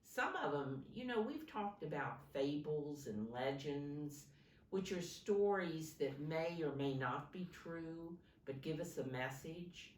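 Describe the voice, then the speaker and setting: A woman with a low voice reads to young children in an instructive, simple storytelling style. Her intonation swings in a lively way as she explains and prompts. The recording is roomy and a bit distant, with faint noise behind her. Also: takes it slowly. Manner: simple, instructive, points out pictures and prompts listeners. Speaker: teacher reading a picture book to young children.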